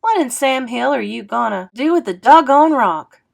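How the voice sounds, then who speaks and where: A woman's voice: high voice, animated, normal speed, vocal fry, voice pushed up, full character voice. A woman voicing a curious, outspoken young boy in a storybook. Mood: puzzled, blunt.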